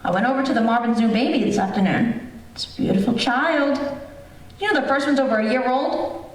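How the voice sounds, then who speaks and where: A woman's voice: fairly high pitch, a little fast, natural voice. Woman playing a nagging wife, echoey and distant. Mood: coaxing. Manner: needling, insistent questioning. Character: nagging, scolding wife, insistent and dismissive. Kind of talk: old-time radio comedy sketch acting. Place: theater stage. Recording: distant, echoey theater live stream.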